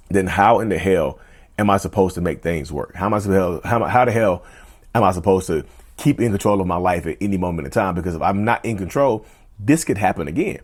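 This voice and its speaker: Casual man talking to viewers. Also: lowish voice. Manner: conversational, repeats himself, often addresses viewers as "y'all". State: voice getting hoarse. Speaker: mental health video host. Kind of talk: casual direct-to-camera talk.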